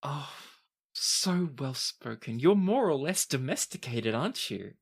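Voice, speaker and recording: A woman's voice: sits low, big pitch swings, a little slow, vocal fry, husky, pitched above the performer's own voice, heavily acted. A woman voicing a haughty, mocking noblewoman in a tabletop game, with a condescending, mock-polite drawl. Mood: sneering. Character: haughty, mocking noblewoman, sneering and easily enraged.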